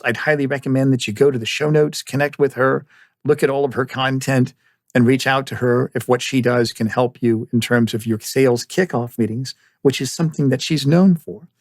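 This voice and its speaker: A man with a medium-pitched voice hosts a podcast interview. He talks in an effusive, enthusiastic way, and the sound is dry with almost no room reflection. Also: no echo. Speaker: podcast host.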